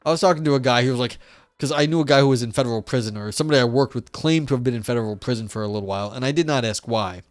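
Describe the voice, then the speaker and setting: A man's voice: mid-range voice, rapid-fire, no echo. Rambling man chatting on a live stream. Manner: rambling, filler-heavy, chatty with viewers. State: losing his voice. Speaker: streamer reading philosophy papers. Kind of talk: casual live-stream chat and reading aloud.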